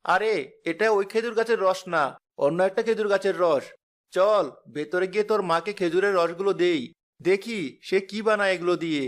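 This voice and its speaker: A man's voice: sits high, brisk, natural voice. A man voicing a practical, protective village father in a cartoon, with music behind. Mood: cheerful. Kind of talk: dubbed cartoon dialogue. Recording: music bed under voice.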